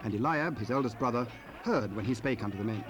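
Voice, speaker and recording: A man's voice: mid-range voice, fast. Solemn male scripture narrator. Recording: faintly noisy cassette rip.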